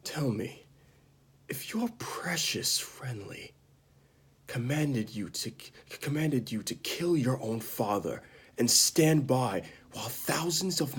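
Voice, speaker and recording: A man playing a bitter disgraced knight confessing to killing a mad king, in a halting, dramatic amateur monologue that drops into whispers. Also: on the low side, creaky, husky, exaggerated voice.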